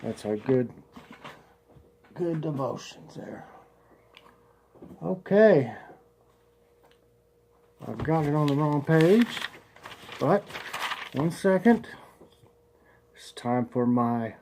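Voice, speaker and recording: A man, a congested vlogger chatting folksily and slowly reading a devotional aloud. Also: fairly high pitch, animated, mellow, slight background noise. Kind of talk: casual home vlog with prayer and devotional reading.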